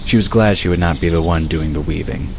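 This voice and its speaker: A man's voice: low-pitched, fairly quick, gravelly. A man giving a scripted narration of a fantasy audiobook. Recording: noisy, birdsong and crickets behind. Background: birdsong, crickets, outdoor ambience.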